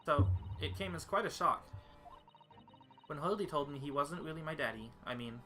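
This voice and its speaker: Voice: mid-range voice, animated, moderate pace, bright, forceful tone, faint music behind. A performer voicing a precocious, cheerful young girl in a fan dub of a video game, chatty and innocent. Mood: confiding. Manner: chatty, childlike, innocent phrasing. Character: precocious, cheerful young girl storyteller, proud of her father.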